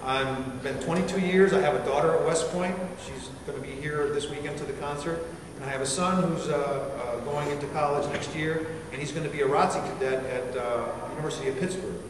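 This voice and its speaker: A man's voice: on the high side, fairly even intonation, fairly quick, few pauses, recorded from a distance. A man in his forties from Chile, an army band musician giving an informal talk to cadets, chatty and quizzing the audience. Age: 40s. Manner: chatty, asks the audience questions. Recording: echoey hall, picked up from afar with background noise.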